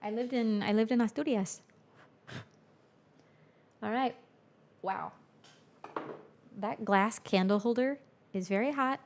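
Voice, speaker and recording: A woman's voice: on the high side, slow, long pauses, slight background noise. A young woman hosting a music livestream from her home studio, chatting casually with viewers and answering their chat, now and then in Spanish.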